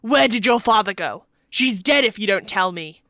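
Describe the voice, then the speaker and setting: A hard, threatening fighting man, as a woman reader puts him on. He speaks loudly and forcefully, making demands with menace, in a dramatic audiobook style. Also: mid-range voice, expressive, takes it slowly, no room sound, clean studio sound, exaggerated voice. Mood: angry demanding. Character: menacing, booming warrior man interrogating a prisoner.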